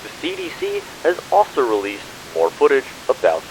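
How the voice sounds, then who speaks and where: A man's voice: mid-range voice, wildly swooping pitch, normal speed, vocal fry. A man playing a serious, earnest television news reporter delivering a scripted report on an outbreak. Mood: grave, reporting. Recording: hissy, noisy recording.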